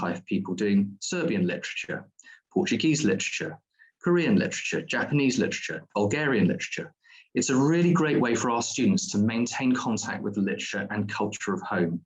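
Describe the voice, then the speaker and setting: A man's voice: fairly low pitch, low-quality recording. A man presenting a school's diploma courses at an online open day, explaining at length with frequent ums and restarts.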